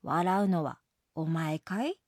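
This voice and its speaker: Woman as a brusque, husky scolding mother jellyfish. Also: on the low side, expressive, a little slow, a little mellow, no room sound, clean studio sound. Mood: stern scolding. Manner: blunt, chiding, folksy motherly speech.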